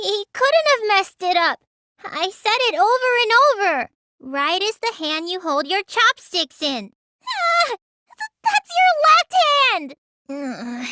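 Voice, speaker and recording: Casting: small teenage girl mage for a game; childish, bright, sluggish and whiny, recorded close and dry. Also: very high voice, no room sound, full character voice. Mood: indignant, flustered. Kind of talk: English video game voice acting.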